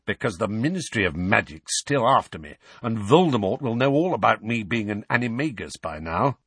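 A man's voice: lowish voice, big pitch swings, normal speed, fairly bright tone, husky, no echo, full character voice. A man voicing a restless, bitter godfather in a storybook, with a dry, sarcastic, complaining manner. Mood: flat, resentful.

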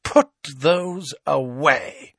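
A man voicing a cold, haughty aristocratic woman, icy and condescending, with quiet menace in an audiobook. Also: a little high, very animated, slow, no echo, clean studio sound, voice pushed up, heavily acted. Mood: cold threat.

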